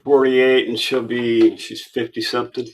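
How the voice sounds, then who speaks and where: A man's voice: medium pitch, flat delivery, moderate pace. A man in his sixties hosting a livestream, chatting casually with short, laid-back replies and some casual swearing. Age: 60s. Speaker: livestream host. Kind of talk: casual chat.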